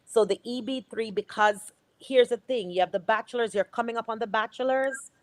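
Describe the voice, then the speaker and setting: A woman's voice: fairly high pitch, a little fast, no room sound. A woman giving immigration advice to callers on a live show, encouraging and emphatic. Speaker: immigration advisor on a call-in show.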